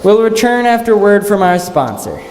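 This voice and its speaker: Man playing a showy, lively announcer. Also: high-pitched, normal speed. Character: showy radio announcer and store floor barker. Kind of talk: 1940s radio announcing. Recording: echoey hall, loud guitar music behind.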